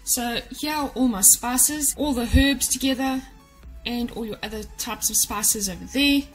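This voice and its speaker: A woman's voice: a little high, unhurried, faint music behind, poor audio. Chatty woman giving home cleaning tips. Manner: chatty and practical, gives tips directly to viewers. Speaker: cleaning vlogger. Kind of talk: casual how-to narration to camera. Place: home kitchen, indoors.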